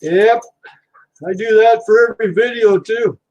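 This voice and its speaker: A man's voice: sits high, fairly even intonation, unhurried, darkish tone, lo-fi sound. A man hosting a casual livestream, chatting easily with viewers while he works on scrap. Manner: easygoing, chatty, talks to viewers while working. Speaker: livestream host.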